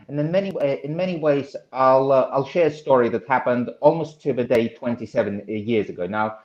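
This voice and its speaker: A man answers questions earnestly, often pausing and restarting. His voice sits at a middle pitch. The recording is dull and lo-fi, with a roomy echo. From Russia. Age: 30s. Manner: earnest, halting, many uhs and restarts. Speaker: political candidate, guest. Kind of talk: interview answers. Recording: echoey room, dull lo-fi sound.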